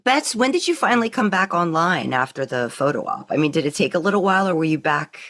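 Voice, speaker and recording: A woman's voice: on the low side, sing-song, fast, fairly bright tone. A woman hosting a podcast in a casual, chatty interview style, often prompting with questions. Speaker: podcast host.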